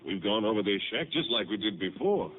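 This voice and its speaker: Folksy, animated Western sheriff, vintage radio. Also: male voice, on the low side, fairly quick. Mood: baffled. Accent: American, rural Western. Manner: folksy, plain-spoken, animated. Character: folksy, puzzled country sheriff, male sidekick. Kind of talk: 1950s radio drama acting. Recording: noisy, narrow-band old radio recording.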